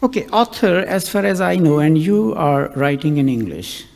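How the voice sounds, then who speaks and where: Middle-aged man, gravelly voice, fairly high pitch, formal halting questions, hall reverb. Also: lively intonation, darkish tone. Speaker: interviewer, academic moderator. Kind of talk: on-stage interview questions. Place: large stage hall at a festival. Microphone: handheld mic.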